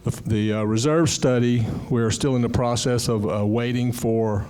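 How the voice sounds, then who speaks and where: A man with a low voice giving a steady finance report at a board meeting. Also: moderate pace. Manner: plain, steady, some fillers. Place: condominium board meeting room.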